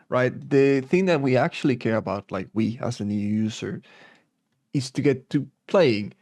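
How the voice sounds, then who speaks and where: Young man from Peru, gravelly tone, casual portfolio voice-over, dry close sound. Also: mid-range voice, a little mellow, no echo. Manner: casual, thinking aloud with fillers. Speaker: design student. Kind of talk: narrated design portfolio walkthrough.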